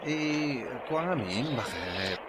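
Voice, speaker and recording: Casting: evasive, boozy family man, Ilocano accent, very animated intonation, stammering, comic radio drama. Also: mid-range voice, takes it slowly, a little hiss or hum. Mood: nervous, stammering. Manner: hesitant, full of grunts and stammers. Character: hapless, evasive, hard-drinking husband and father. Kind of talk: comedic radio drama acting.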